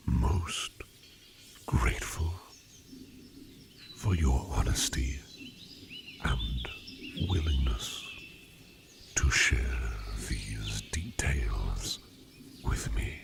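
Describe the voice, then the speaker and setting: A man voicing a courtly, curious sleep demon in human disguise, speaking formally in a breathy, half-whispered ASMR roleplay with deliberate pauses. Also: a bass voice, heavily acted. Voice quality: breathy, often dropping into whisper. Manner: formal, archaic, deliberate phrasing with many pauses. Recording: birdsong and forest ambience behind voice. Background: birdsong, outdoor nature sounds.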